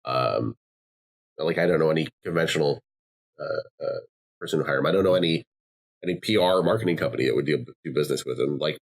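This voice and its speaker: Low-voiced man chatting on a podcast. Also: quick pace, breathy edge. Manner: rambling, many fillers and restarts. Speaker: podcast co-host. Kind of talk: casual podcast chat.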